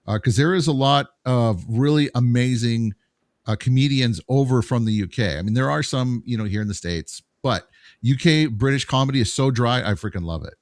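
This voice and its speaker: A man's voice: medium pitch, moderate pace, no echo, studio quality. A man co-hosting a podcast, chatting casually in an easygoing, agreeable way and asking questions. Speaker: co-host.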